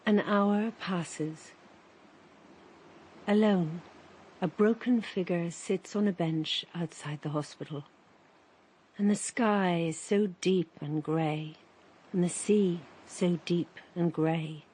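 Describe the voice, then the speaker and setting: A woman narrating a radio drama in a calm, measured way. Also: lowish voice, a little hiss or hum. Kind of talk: scripted literary narration.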